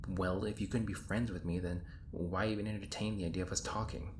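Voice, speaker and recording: A man's voice: low-pitched, steady tone, fairly quick, lightly acted. A man playing an exasperated, sarcastic hero in an audio roleplay, rambling in casual modern phrasing. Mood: questioning, exasperated. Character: exasperated, sarcastic young hero stuck in time loops. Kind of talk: audio roleplay acting, conversational monologue. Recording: roomy echo, clear background noise. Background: designed ambience.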